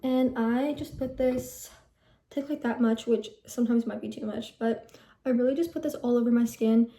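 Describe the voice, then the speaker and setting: Young woman, teens, chatty vlogger, rambling, self-correcting, mellow tone, echoey room. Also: fairly high pitch, steady tone, normal speed. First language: Telugu heritage speaker, English first language. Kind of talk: casual skincare routine vlog.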